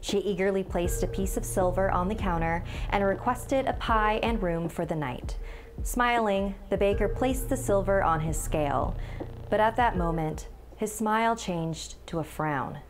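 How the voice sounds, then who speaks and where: A woman's voice: mid-range voice, moderate pace, background noise. A woman in her thirties giving a scripted, dramatic narration as a scary-story teller. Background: background music.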